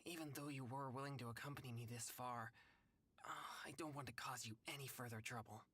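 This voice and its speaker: A man's voice: mid-range voice, fairly even intonation, fairly quick, fairly bright tone, slightly rough. An actor playing an earnest, naive young male exorcist with polite, formal speech in an anime-style game. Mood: faint, apologetic.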